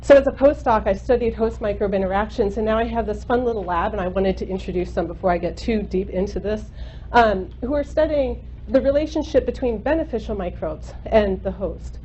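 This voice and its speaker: A woman in her thirties with a loud voice, giving a university seminar lecture into a microphone in a lecture room, chatty with frequent ums and uhs. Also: mid-range voice, brisk. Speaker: guest speaker, microbiologist. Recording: muffled recording with faint hum.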